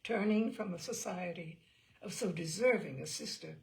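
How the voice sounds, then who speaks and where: A woman reading a classic novel aloud as a storyteller, expressive and measured, with emphatic phrases and hushed endings. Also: fairly low pitch, vocal fry. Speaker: audiobook reader and storyteller.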